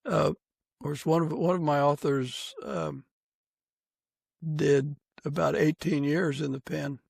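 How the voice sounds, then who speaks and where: Gravelly man hosting a casual interview. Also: a little high, fairly even intonation, takes it slowly, darkish tone, no room sound. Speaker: podcast host, interviewer.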